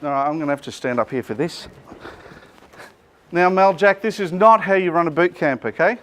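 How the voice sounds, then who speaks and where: A middle-aged Australian man, a business coach, running a joking, energetic seminar and quizzing his audience, speaking without a microphone to the room. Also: a little high, moderate pace. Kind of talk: lively workshop presentation to a live room.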